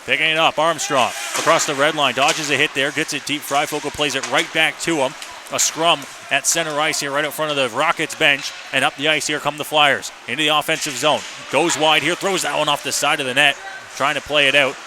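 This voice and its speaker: A man's voice: a little high, steady tone, fairly quick. A man doing live ice hockey play-by-play for radio, describing the action in clipped running phrases. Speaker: play-by-play announcer. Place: ice rink, live broadcast position. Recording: noisy live arena broadcast.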